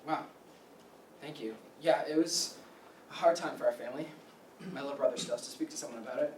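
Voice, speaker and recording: An actor playing a chatty, good-humored doctor in a staged play reading. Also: male voice, mid-range voice, a little fast, lightly acted. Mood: reflective. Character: chatty, good-humored male doctor, old childhood friend. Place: meeting room staged reading, distant camera. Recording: echoey room from far off, noisy.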